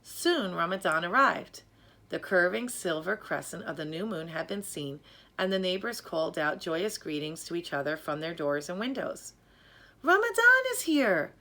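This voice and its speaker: A woman narrates a children's storybook in a slightly low voice. Her delivery is measured and expressive, like scripted storytelling read aloud. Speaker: storybook reader.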